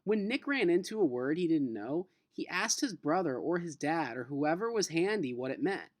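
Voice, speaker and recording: A male teacher with an animated, swinging pitch and a close, dry sound. He moves between storybook read-aloud and casual lesson talk, expressive and informal as he addresses his students. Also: moderate pace, no echo. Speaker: teacher reading a children's novel aloud.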